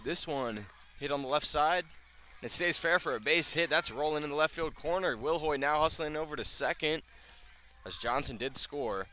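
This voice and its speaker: A man's voice: on the high side, sharp tone, a little hiss or hum. A man calling a baseball game live as a radio play-by-play announcer, speaking from field level at an outdoor ballpark.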